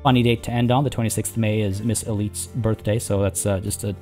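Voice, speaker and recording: Hoarse man chatting over gameplay. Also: lowish voice, fairly even intonation, quick pace, a little mellow, soft background music. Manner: rambling, chatty, many restarts and fillers. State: hoarse, losing his voice. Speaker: let's play host. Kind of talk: casual gameplay commentary.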